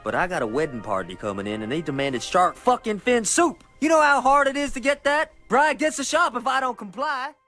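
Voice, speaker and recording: Weary restaurant manager over music. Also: male voice, very high-pitched, sing-song, a little fast, hardly any pauses, pushed and bright, heavily acted. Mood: exasperated. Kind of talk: video game cutscene acting.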